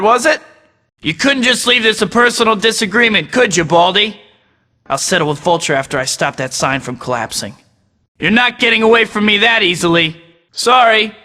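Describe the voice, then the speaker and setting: Wisecracking young superhero. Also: male voice, high-pitched, big-room sound. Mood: taunting. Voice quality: bright. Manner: sarcastic, teasing. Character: wisecracking young male superhero, cocky and joking. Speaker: video game hero voice. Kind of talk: quippy game voice clips.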